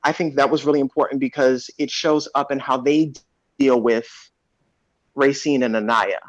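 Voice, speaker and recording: A man speaking as an actor on a panel, reflecting in a measured, thoughtful way with pauses, over a video call. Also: mid-range voice, fairly even intonation, no echo. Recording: dull, dry video-call audio.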